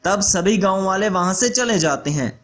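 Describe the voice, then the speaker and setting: A man's voice: high voice, expressive, moderate pace, background noise. A man reading scripted narration for a children's story as the storyteller.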